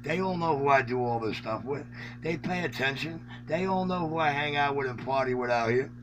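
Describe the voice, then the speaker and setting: A man's voice: medium pitch, fairly quick. A man in casual, argumentative banter over a radio transmission, mocking and repeating himself in street talk. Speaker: radio operator chatting on an open channel. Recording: noisy distant radio transmission with hum.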